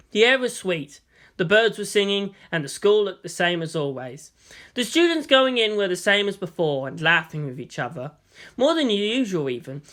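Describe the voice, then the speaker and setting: Highly expressive story narrator. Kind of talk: expressive fan fiction reading.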